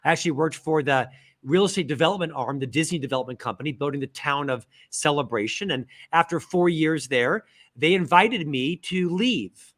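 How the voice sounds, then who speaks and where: A middle-aged man from Central Florida, a guest author in a casual interview, telling lively stories with self-deprecating humor. He has a stutter. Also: fairly high pitch, a little fast. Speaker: guest, author and podcast host.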